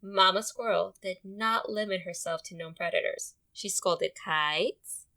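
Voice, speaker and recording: Casting: woman teacher reading a picture book aloud. Bright voice, instructive, talks directly to the kids. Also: mid-range voice, measured pace. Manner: instructive, addresses the listeners directly. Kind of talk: interactive storybook read-aloud and lesson.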